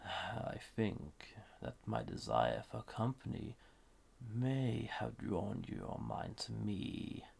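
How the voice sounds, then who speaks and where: A man playing a banished, dangerous villain in a roleplay audio drama, flirty and condescending, murmuring smugly in a husky, gravelly voice. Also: on the low side, lively intonation, slow pace, a little mellow, heavily acted. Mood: charmed, condescending.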